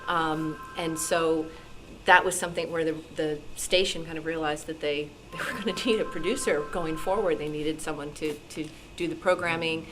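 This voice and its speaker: A woman with a low voice speaks informally at a meeting. She is modest and hesitant, feeling her way through sentences. Faint music is audible. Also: steady tone, normal speed, rough recording. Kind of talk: informal panel talk at a club meeting.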